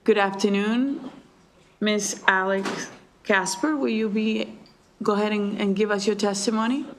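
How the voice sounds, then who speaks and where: Woman committee chair, mid-pitched voice, faint background noise, polite procedural tone. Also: steady tone, a little slow. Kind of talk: chairing a legislative hearing.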